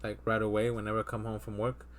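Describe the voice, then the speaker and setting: Low-voiced man rambling on a podcast. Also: monotone, fast talker, slight background noise. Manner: rambling, many fillers and restarts. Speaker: podcast host. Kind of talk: casual chat.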